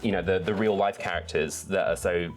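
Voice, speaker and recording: A man's voice: low voice, fairly even intonation, fairly quick, faint music behind. A man hosting an enthusiastic book talk, articulate and wordy, with emphatic phrasing. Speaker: book reviewer and readalong host.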